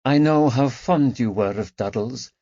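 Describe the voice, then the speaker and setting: A kind, tactful woman speaking soft, soothing words, put on by a male narrator who lifts his pitch a little. The recording is dry, with a slightly muffled top. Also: measured pace, no echo. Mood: gentle. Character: gentle, tactful woman comforting a friend.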